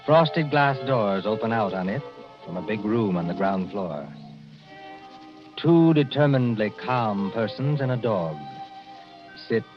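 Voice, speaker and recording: Grave old radio mystery narrator, low voice, loud music behind. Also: male voice, expressive, a little slow. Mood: ominous, measured. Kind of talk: scripted narration, 1940s radio acting. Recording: muffled old radio recording.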